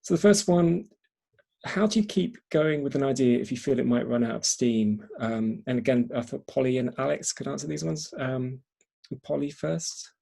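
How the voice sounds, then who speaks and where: A man moderating a panel discussion in a husky, gravelly voice. He speaks informally and haltingly, doubling back on words as he steers from one question to the next. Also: medium pitch, mellow. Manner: halting, repeating words as he goes. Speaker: event host and moderator.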